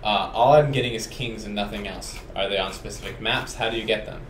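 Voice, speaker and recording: A man's voice: fairly low pitch, brisk, reverberant, slight background noise. A man hosting a game developer stream, reading out viewer questions and speaking straight to the audience in an upbeat way. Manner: upbeat, addresses viewers directly. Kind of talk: casual dev stream hosting.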